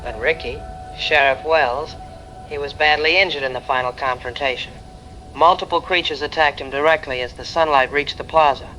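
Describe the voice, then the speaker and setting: A male actor playing a shaken eyewitness calling a radio show, dramatic, halting and emotional. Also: on the high side, fairly even intonation, bright, forceful tone, muffled recording, faint music behind. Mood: grieving. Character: shaken eyewitness caller from a fire crew team. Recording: clear background noise.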